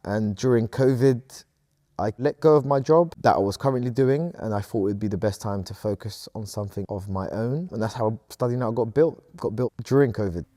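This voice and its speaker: A man's voice: lowish voice, brisk, mellow, no room sound, studio quality. A man in his thirties from Sudan, a company co-founder answering interview questions in an earnest, upbeat way.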